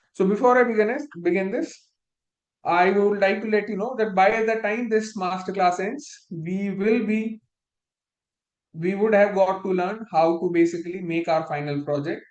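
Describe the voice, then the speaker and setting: High-voiced man teaching a spreadsheet class. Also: a little mellow, echoey room, rough recording. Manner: step-by-step, patient, restarts phrases. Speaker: instructor. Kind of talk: live online spreadsheet lesson.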